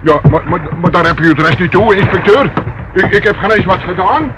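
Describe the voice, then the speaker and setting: A high-pitched, jittery small-time crook from a radio drama, played by a man. He stammers and whines defensively. The old recording is clearly noisy. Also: a little fast, natural voice. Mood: nervous, defensive. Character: nervous, evasive male petty crook. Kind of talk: radio play acting.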